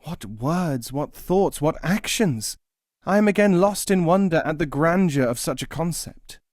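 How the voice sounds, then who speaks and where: A man acts out a pious, over-eager male devotee from a storybook teaching tale. The voice climbs high with gushing awe, and the sound is close and dry. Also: animated, a little slow, darkish tone, no room sound. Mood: awestruck. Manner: gushing, awestruck. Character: pious, habit-ridden man, greedy, gushing admirer.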